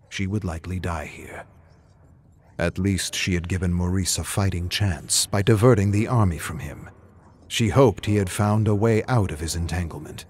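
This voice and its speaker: Man, lowish voice, suspenseful storyteller, theatrical scripted narration, some noise behind the voice. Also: darkish tone. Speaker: narrator reading game lore.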